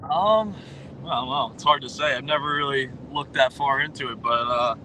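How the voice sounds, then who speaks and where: A man in his late twenties answers casual podcast questions with a bright, forceful tone. He hesitates often, filling gaps with ums and you knows. Faint noise sits behind him. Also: medium pitch, moderate pace. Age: 20s. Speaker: guest, pro roller hockey player. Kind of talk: casual interview chat.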